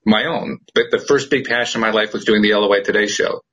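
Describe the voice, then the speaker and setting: Middle-aged male podcast host, low and husky, earnest and reflective, casual chat. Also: fast talker, a bit bright. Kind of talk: casual conversation.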